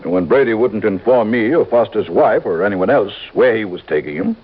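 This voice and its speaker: A man's voice: on the low side, expressive, fairly quick, breathy edge. A man acting a fussy, stingy, anxious insurance executive in a mid-century radio drama, prim and insistent. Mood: agitated, insistent. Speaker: supporting actor in a radio drama. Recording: tinny, band-limited old radio recording.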